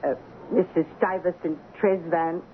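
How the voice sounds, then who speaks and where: Imperious old dowager, acted by a woman, low-fi radio. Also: fairly even intonation, a little slow, close to an everyday voice. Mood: haughty, sour. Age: older. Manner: haughty, curt, cutting. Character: imposing, imperious, sour old dowager hotel guest. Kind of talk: 1970s radio drama acting. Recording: lo-fi old radio broadcast, faint noise.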